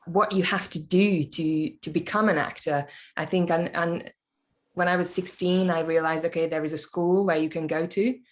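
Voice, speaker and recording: Low-voiced woman, thoughtful. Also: fairly even intonation, normal speed. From Hungary. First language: Hungarian. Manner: thoughtful, searching for words. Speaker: podcast guest, actor. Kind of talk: casual interview chat.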